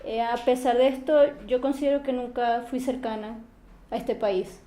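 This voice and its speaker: A teenage woman from Maracaibo with a Venezuelan accent, speaking calmly and in a measured way as she is interviewed. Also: a little high, reverberant, slight background noise.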